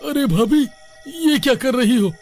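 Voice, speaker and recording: A man's voice: very high voice, unhurried, faint music behind. A man voicing a frightened, timid younger brother in a cartoon story, fretful and pleading. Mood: panicked, pleading.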